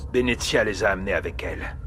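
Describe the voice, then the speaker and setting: A man's voice: sits low, fast, fairly bright tone, slight background noise. A man playing a jaded, edgy scientist in a dubbed video game. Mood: informative.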